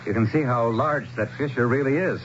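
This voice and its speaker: An actor playing an earnest, anxious, educated town leader, speaking formally and haltingly as he explains, in a radio drama. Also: mid-range voice, lightly acted. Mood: earnest, eager. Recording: muffled, noisy 1970s radio broadcast.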